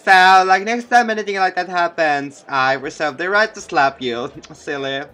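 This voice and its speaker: A man puts on a teenage girl from a visual novel, pitched up and bright. She is witty and playful, quick to tease and just as quick to get flustered. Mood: teasing. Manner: playful, teasing, easily flustered.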